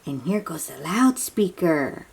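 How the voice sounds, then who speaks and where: A woman reading a picture book aloud to young children in an animated, breathy, questioning way, drawing out her words. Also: low voice, low-quality recording. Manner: animated, drawn-out, questioning delivery aimed at little children. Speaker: children's read-aloud host.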